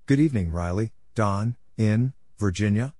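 A man reading out practice exchanges as a narrator, in a measured way, spelling out letters one at a time. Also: fairly low pitch, dark tone, dry recording, studio quality. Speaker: Morse code practice narrator.

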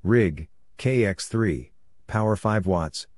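A man reading out radio practice messages slowly in short, clipped phrases, as an instructor. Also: fairly low pitch, lively intonation, darkish tone, a little hiss or hum. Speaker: Morse code practice instructor.

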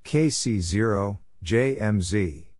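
A man reading radio contact exchanges aloud as a practice narrator, spelling out call signs and numbers in a measured way. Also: fairly low pitch, expressive, a little mellow. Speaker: Morse code practice narrator.

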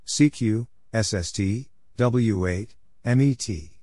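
Male narrator, low-pitched and very slow, for measured instructional readouts delivered item by item. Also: warm tone, dry recording, clean studio sound. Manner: measured, spelling out letters and numbers one at a time. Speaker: narrator of Morse code practice. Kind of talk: scripted readout of callsigns and exchanges.

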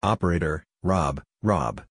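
A man narrating a Morse code practice lesson, reading scripted radio phrases in clipped, deliberate pieces. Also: low-pitched, animated, heavy background noise.

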